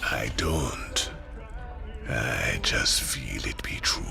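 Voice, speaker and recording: An actor playing an eerie, fatalistic seer in a video game, with a gravelly, breathy, folksy drawl. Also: male voice, a bass voice, expressive, drawn-out words, in a whisper, over loud music, heavily acted. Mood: eerie, foreboding. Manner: cryptic, drawling.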